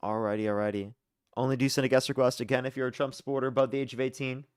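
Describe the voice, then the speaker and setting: A young man hosts a live call-in debate stream, rattling through callers at a rapid clip. His voice sits close and dry, with no room sound around it. He moves briskly from one caller to the next and drops sarcastic asides along the way. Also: mid-range voice, level tone, clear, resonant tone, dry recording. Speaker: livestream debate host.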